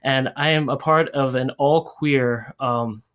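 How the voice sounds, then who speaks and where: A man's voice: medium pitch, steady tone, slow, a bit bright, clear, resonant tone. Young man talking with hesitant fillers. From Orange County, California. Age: young adult. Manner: hesitant, many fillers and restarts. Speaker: podcast guest. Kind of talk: casual chat. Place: room in Hawaii. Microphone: shared earbud with the host.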